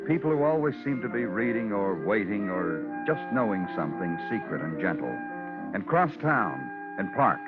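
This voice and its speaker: Guy doing a brooding radio cop, low voice, big swings in pitch, kinda poetic, muffled old recording. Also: lightly acted. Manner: terse questioning, lyrical narration. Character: moody, hard-boiled police detective, brooding and poetic. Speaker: lead and narrator. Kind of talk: old-time radio crime drama acting. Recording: dull vintage radio recording.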